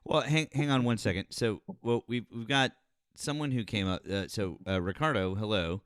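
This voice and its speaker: Deep-voiced hesitant talk show host. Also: male voice, normal speed, no echo, clean studio sound. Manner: hesitant, filler-heavy, then pointed questions. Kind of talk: live political talk stream.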